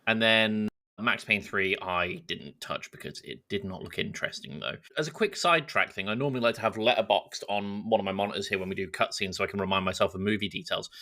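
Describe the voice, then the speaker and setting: A man's voice: fairly low pitch, quick pace, bright, forceful tone. A man co-hosting a podcast in a casual, conversational style. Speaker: podcast co-host. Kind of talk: casual chat.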